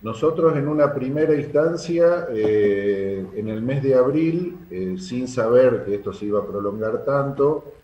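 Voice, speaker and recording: A man with an Argentine accent giving earnest, halting explanations as an interview guest. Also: lowish voice, darkish tone, roomy sound, low-quality recording. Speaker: sports club secretary, interview guest.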